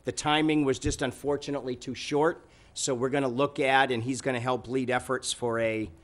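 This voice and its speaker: A man chairing a city council meeting, giving informal remarks and updates in a halting, conversational way. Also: mid-range voice, little pitch movement.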